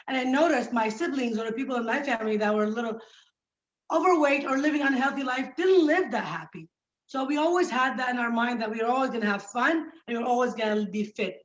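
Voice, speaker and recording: Upbeat woman in her forties, echoey call. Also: fairly high pitch. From Iraq. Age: 40s. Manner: upbeat, laughs often. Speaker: guest. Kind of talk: telling her life story. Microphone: video call. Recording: echoey, dull video-call audio.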